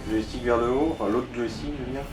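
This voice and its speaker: A man's voice: medium pitch, fairly even intonation, fast, over music. A man in casual gaming chat, explaining and giving directions to the player in a helpful, slightly hesitant way. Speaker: co-commentator guiding the player. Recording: echoey room.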